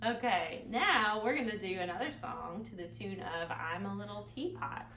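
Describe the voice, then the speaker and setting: A woman's voice: medium pitch, moderate pace, sharp tone. A woman hosting an interactive storytime for small children, with a playful, sing-song manner and little questions to her young listeners. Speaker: children's library storytime host. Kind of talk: interactive storytime for small children, songs and picture-book reading. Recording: echoey room, clear background noise.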